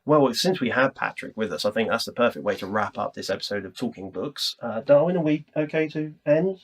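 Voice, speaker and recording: A man's voice: medium pitch, lively intonation, brisk. A man with an English accent hosting an informal interview in a chatty, jokey way. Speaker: podcast presenter. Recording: ambient room microphone.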